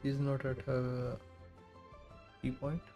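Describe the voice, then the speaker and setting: A woman's voice: very deep voice, completely flat delivery, slow pace, loud music behind the voice. A woman game streamer giving casual live commentary while playing.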